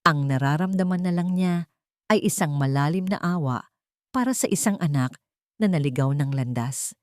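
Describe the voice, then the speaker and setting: A woman reading a scripted dramatic story in measured, emotive short phrases. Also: low voice, darkish tone, dry recording, studio quality. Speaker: story narrator.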